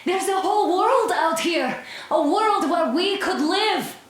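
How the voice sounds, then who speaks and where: A woman's voice: very high voice, lively intonation, takes it slowly, heavy reverb, exaggerated voice. A woman acting a defiant, idealistic young soldier in a video game, direct and challenging. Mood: defiant, hopeful.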